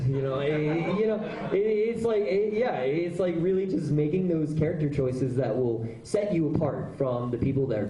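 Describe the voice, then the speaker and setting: A man's voice: mid-range voice, dark tone. A man, a voice actor, casually answering audience questions at a convention panel, talking loosely with plenty of fillers. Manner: chatty, loose, lots of fillers. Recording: echoey panel room.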